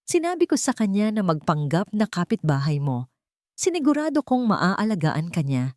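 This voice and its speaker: A woman's voice: medium pitch, very animated, moderate pace, dry recording, studio quality, close to an everyday voice. A woman voicing an earnest, protective young tycoon. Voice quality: soft. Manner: earnest confession.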